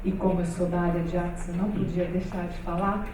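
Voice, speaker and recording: A woman's voice: lowish voice, flat delivery, fairly quick, dark tone, slight background noise. A woman moderating a seminar panel on a stage, talking casually with many fillers and restarts. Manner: hesitant, many fillers, conversational. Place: large hall with stage. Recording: echoey hall, far from mic.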